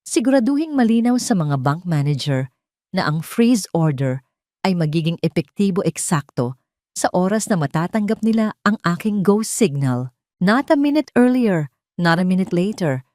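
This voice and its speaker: A woman voicing a calm, commanding man who gives firm, assured instructions in an audio story. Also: on the low side, normal speed, no echo, clean studio sound. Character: calm, commanding male adviser plotting with a wife.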